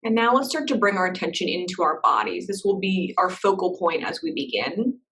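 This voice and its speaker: Meditation guide, woman, lowish voice, soft and unhurried, recorded a little off-mic. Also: distant mic. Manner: soft, slow and reassuring, with gentle pauses.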